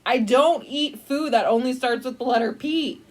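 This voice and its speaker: A woman's voice: high voice, moderate pace, a little mellow. A woman voicing a grumpy, exasperated storybook alligator with an irritated, matter-of-fact way of complaining. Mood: defensive. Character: exasperated, grumpy alligator who just wants peace. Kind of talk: storybook read-aloud dialogue.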